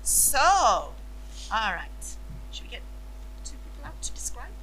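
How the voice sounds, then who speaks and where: A woman co-leading a children's lesson, asking lively questions to draw the children in. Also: on the high side, measured pace, very noisy. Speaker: children's workshop co-leader.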